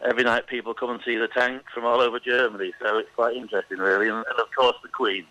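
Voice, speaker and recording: Man, middle-aged, bright forceful tone, dry close sound, chatty, hesitant, radio guest. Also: medium pitch, little pitch movement, fast talker, no room sound, muffled recording. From England. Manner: chatty, hesitant with many ums. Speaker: interview guest. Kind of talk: phone-in radio interview.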